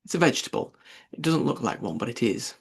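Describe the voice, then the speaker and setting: Man reading as a polite, earnest young girl, husky. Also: medium pitch, lively intonation, quick pace.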